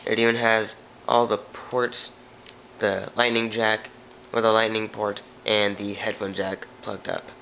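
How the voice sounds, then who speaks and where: A man's voice: fairly low pitch, flat delivery, unhurried, vocal fry, sharp tone, a little hiss or hum, low-quality recording. A man with a stuffy nose hosting a casual tech video, talking through phone specs off camera and correcting himself as he goes. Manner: chatty, reads specs, frequent self-corrections. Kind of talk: casual product walkthrough.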